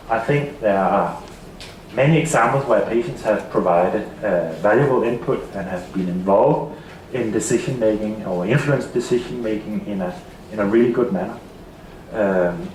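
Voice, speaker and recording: Halting Danish-accented man, echoey hall. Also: lowish voice, distant mic, slight background noise. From Denmark. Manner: halting, with many repeated words and fillers. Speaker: seminar speaker. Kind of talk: conference presentation. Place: seminar hall.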